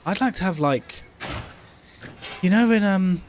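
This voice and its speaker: A man's voice: high voice, lively intonation, measured pace, a little hiss or hum. A man co-hosting a casual gaming livestream, thinking aloud in a rambling, sweary way. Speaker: game streamer co-host.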